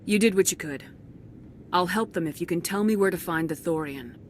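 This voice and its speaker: A woman's voice: lowish voice, a little fast, close to an everyday voice. A resolute military commander played by a woman in a science fiction game. She speaks firmly and with authority, giving every phrase the weight of command. Faint ambient noise sits behind the voice. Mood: stern. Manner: firm, commanding. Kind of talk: dramatic video game acting.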